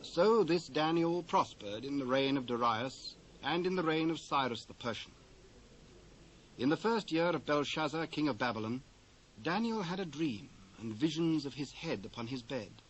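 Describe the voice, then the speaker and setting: A British man narrating scripture from a script in a formal, measured storytelling manner. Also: a little high, expressive, low-quality recording. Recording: old cassette with faint hiss.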